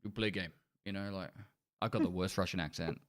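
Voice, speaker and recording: A man with a fairly low voice hosts a casual podcast. He talks in a chatty, joking way and slips into exasperated rants. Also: steady tone, brisk. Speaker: podcast host.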